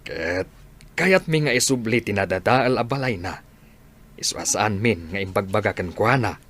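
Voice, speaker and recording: A man with an Ilocano accent acting a steady, grateful crew boss in a radio drama. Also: on the low side, normal speed. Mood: hesitant, pleading.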